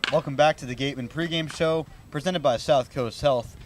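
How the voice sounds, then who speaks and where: A man's voice: a little high, fairly quick, soft background music. A man hosting a sports radio broadcast, doing pregame talk and play-by-play commentary.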